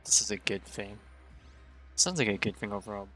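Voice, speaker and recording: A man's voice: lowish voice, brisk, bright, forceful tone. A man giving casual live commentary as he streams a game. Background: faint game music.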